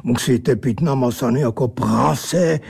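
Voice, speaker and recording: A man voicing a pedantic, irritable grotesque angel creature in a storybook, rumbling and muttering with a German accent and pompous broken grammar. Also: creaky, a little mellow, breathy edge. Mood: scolding.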